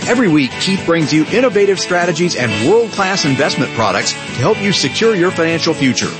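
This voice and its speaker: Lively male announcer over country guitar music. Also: a little high, brisk. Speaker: show announcer. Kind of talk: scripted promotional intro narration.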